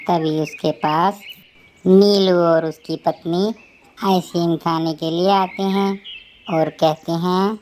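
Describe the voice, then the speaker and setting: A woman narrates a children's story in a low voice. Her scripted delivery is very slow, and faint birdsong sits behind her. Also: fairly even intonation, darkish tone, a little hiss or hum.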